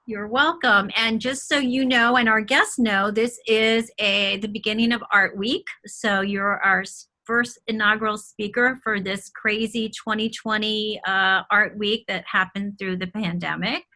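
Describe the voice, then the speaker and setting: A woman's voice: mid-range voice, fairly even intonation, measured pace, hardly any pauses, bright, forceful tone, low-quality recording. A woman hosting an online art talk, reading a polished, welcoming introduction and then interviewing her guest over a video call. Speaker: host.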